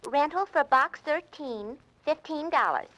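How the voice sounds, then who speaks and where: An actress playing a chirpy, naive young secretary over the telephone in a 1940s radio drama. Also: female voice, high-pitched, expressive, a little slow, bright, forceful tone, exaggerated voice, rough recording. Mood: chirpy, innocent.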